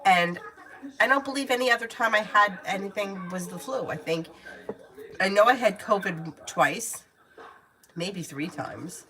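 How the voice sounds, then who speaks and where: A woman's voice: mid-range voice, unhurried, heavy background noise. A woman giving tarot readings on a casual livestream, chatting candidly, swearing freely and calling viewers by name. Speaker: tarot reader answering viewer questions.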